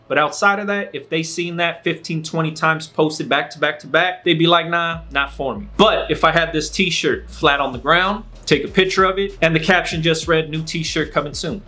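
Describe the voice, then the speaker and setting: A man's voice: a little high, pushed and bright. A man from Los Angeles with a Californian accent gives casual instructional advice to camera as a host, speaking emphatically in repeated phrases and checking in with the listener. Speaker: host, clothing brand owner giving marketing advice.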